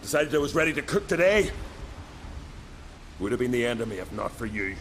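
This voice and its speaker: An actor playing a loyal, hot-headed young island warrior in a video game, with an earnest, colloquial way of telling a tale. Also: male voice, medium pitch, sing-song, fairly quick, background noise, lightly acted. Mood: rueful, eager. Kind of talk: dramatic video game voice acting.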